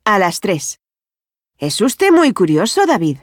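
An actress playing a friendly adult woman who works at a bank, speaking clearly and without hurry in language-course dialogues. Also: mid-range voice, huge pitch swings, slow, no room sound, clean studio sound, exaggerated voice. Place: dry studio.

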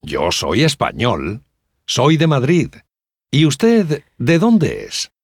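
A courteous, slow-spoken teacher. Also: male voice, mid-range voice, wildly swooping pitch, no room sound, studio quality, full character voice. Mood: polite. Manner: slow, clear, polite. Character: courteous adult male Spanish teacher. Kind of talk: language-course dialogue acting. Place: dry studio.

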